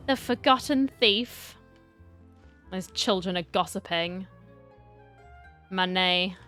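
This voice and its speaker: A woman's voice: lowish voice, a bit bright. A woman streamer giving casual playthrough commentary, with playful, wry asides. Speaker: game streamer.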